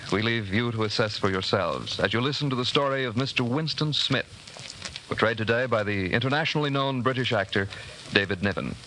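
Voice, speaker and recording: A man's voice: medium pitch, pushed and bright, a little hiss or hum, low-quality recording. A man announcing a radio drama in formal, declamatory 1940s broadcast style. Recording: vintage 1949 radio broadcast.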